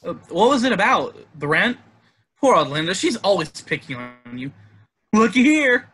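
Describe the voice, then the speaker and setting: A man playing a boyish, cheeky young husband in a stage play table read, breezy and excitable, with a husky voice. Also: sits high, moderate pace, slight background noise. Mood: breezy, teasing. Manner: breezy, exclamatory.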